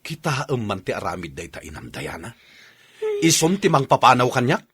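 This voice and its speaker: Man as stubborn quarrelsome father, raspy, mid-pitched, lively intonation, radio drama. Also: moderate pace, exaggerated voice. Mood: indignant. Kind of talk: radio drama acting in Ilocano.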